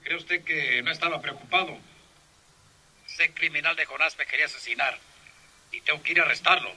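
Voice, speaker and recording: Sharp, blustering police captain. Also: male voice, a little high, brisk, breathy edge, heavily acted. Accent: Mexican. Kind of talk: old radio serial acting.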